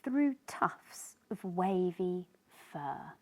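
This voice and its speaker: Female children's story reader. Animated, swooping delivery at a leisurely pace. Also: fairly low pitch. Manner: slow, animated, speaking to young children. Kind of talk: expressive read-aloud of a children's picture book.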